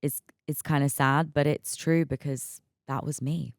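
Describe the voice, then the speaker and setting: A raspy, hungover woman in her mid-twenties hosting her own podcast, chatting candidly, laughing often and repeating words as she talks. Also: deep voice, fairly even intonation, unhurried, no room sound, studio quality. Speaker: podcast host. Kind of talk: casual solo podcast chat.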